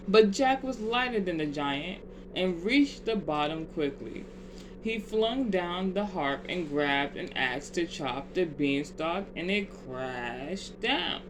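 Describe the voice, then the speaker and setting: A storyteller reading a children's bedtime tale aloud in deliberate, measured phrases. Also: mid-range voice. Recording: clear steady background noise.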